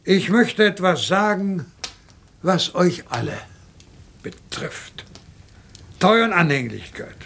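An actor playing a bitter, imperious old rich man in a radio crime drama, husky and theatrical in his commands. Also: sits high, big pitch swings, slow pace, long pauses, a bit bright, slight background noise, exaggerated voice. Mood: bitter, wounded.

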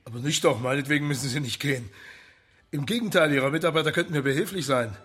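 An actor playing a polite, unhurried police marshal in a radio crime drama, courteous and measured in manner. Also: male voice, fairly high pitch, fairly bright tone, husky. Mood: polite, probing. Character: polite, unhurried male police marshal, quietly persistent investigator.

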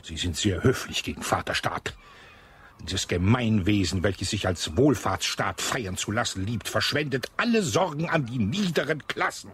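An actor playing a pompous, gallant old retired colonel in a radio play, prone to indignant outbursts. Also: male voice, medium pitch, a bit bright, husky, exaggerated voice. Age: older. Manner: pompous, gallant, grows heated.

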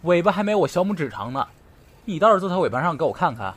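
A man playing a sharp-tongued, jealous boyfriend in an audio drama, curt, sarcastic and interrogating. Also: fairly high pitch, big pitch swings, fast talker, slight background noise. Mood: suspicious. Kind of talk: Chinese audio drama acting.

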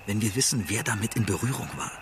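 A man's voice: lowish voice, fast, creaky, background noise. A man playing a keen, informal investigator in a naturalistic radio drama, talking eagerly with hesitant restarts. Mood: keen, suspicious. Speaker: actor in a radio play.

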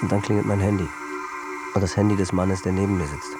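A low male voice plays a jet-set manager losing his grip. He delivers a tense, circling radio-play monologue with music underneath. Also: level tone, quick pace. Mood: tired, absorbed. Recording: music behind the voice.

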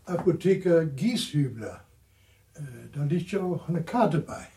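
An actor playing a deferential, plain-spoken old manservant in a German radio play, speaking briefly and respectfully. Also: male voice, fairly high pitch, expressive, measured pace, mellow.

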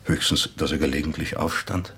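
An actor playing a reflective man telling his memories in a measured, understated radio-drama monologue, with a husky, raspy voice. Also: very deep voice, steady tone, sharp tone, slight background noise. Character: reflective man quietly recalling an acquaintance. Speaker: narrator.

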